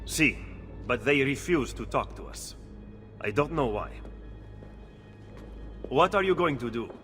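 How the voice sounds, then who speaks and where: An actor playing a shrewd, skeptical Renaissance conspirator with an Italian accent in a video game cutscene. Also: male voice, medium pitch, expressive, a little slow, a bit bright, faint music behind. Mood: dissatisfied.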